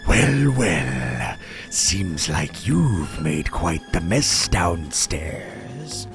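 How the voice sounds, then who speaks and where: A man playing a sinister, greedy banker villain in a western radio drama parody, smug and deliberate as he menaces. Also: low-pitched, big pitch swings, faint music behind, heavily acted.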